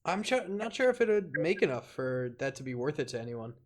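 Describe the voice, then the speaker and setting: A man talking casually and informally as a podcast guest, a YouTuber who uses slang and halting phrasing. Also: on the high side, big pitch swings. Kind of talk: casual chat.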